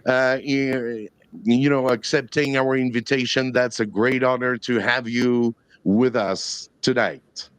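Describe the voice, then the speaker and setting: A man's voice: mid-range voice, fairly even intonation, slow, clear, resonant tone, no echo. A man hosting a live stream, an English teacher who talks with an enthusiastic, hype-building manner. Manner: enthusiastic, hypes the audience.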